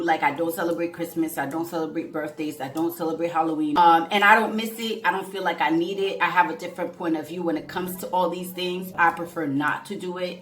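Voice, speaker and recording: A woman in her forties from Haiti, a vlogger telling her life story in a chatty, animated way and calling her viewers "y'all". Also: fairly low pitch, echoey room. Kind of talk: casual storytelling to camera.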